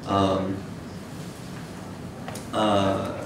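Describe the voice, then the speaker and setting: Male academic, deep voice, measured and hesitant lecture delivery, recorded from afar in a reverberant room. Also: completely flat delivery. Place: dim wood-shelved seminar room with audience, indoors. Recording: echoey room, distant mic, noisy rumble.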